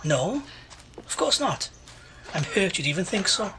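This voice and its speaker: A man's voice: high voice, very animated, a little slow, bright, forceful tone, a little hiss or hum, full character voice. A man playing a lazy, flippant slacker housemate in an audio drama. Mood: mock hurt. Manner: flippant, mock-indignant.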